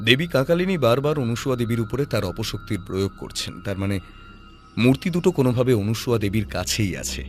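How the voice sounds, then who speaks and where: An actor playing a shrewd, composed male detective who reasons in a deliberate, analytical way in an audio drama. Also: medium pitch, animated, faint music behind, lightly acted. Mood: deducing, decisive.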